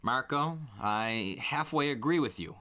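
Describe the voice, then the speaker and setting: A man's voice: medium pitch, animated, unhurried, pushed and bright, pitched above the performer's own voice. A man voicing a direct, commanding teenage boy who leads his friends in an audiobook story. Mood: trying for calm. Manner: direct, snappy.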